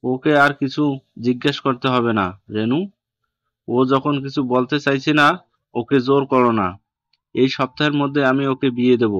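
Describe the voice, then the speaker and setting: A man's voice: medium pitch, steady tone, a bit bright, no room sound, lightly acted. Man acting a firm, strict father, muffled sound. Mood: resolute. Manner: firm, measured, unyielding. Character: strict middle-aged Bengali father, firm and authoritative. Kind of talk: Bengali audio story acting.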